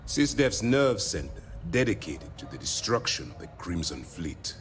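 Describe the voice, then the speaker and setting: An actor playing a composed, authoritative military commander in a video game, speaking formally and deliberately. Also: male voice, sits low, very animated, over music. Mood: formal, purposeful. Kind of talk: video game character dialogue.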